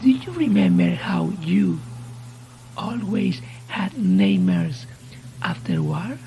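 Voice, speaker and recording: A woman's voice: mid-range voice, huge pitch swings, takes it slowly, mellow, slight background noise. A woman voicing a sinister radio voice in a horror audio drama, taunting and menacing in the manner of a mock-friendly presenter. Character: sinister taunting radio voice that knows a man's fears.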